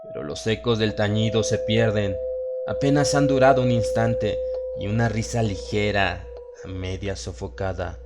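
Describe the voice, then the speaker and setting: A man with a Latin American Spanish accent narrating a horror story in a solemn, theatrical manner with dramatic pauses. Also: on the low side, steady tone. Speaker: audiobook narrator. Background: music and sound effects.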